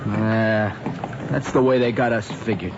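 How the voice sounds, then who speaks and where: An actor playing a wisecracking, sympathetic American teenage pal in a radio drama, with slangy, wry delivery. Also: male voice, on the low side, measured pace. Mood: wry, commiserating. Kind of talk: mid-century American radio drama acting. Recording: muffled, noisy old radio recording.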